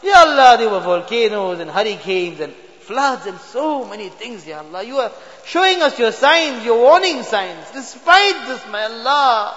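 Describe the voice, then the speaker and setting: A man's voice: very high-pitched, very animated, moderate pace, pushed and bright. A man leading an impassioned, pleading prayer of supplication, his voice breaking with emotion, recorded in a mosque prayer hall. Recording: dull, reverberant hall recording.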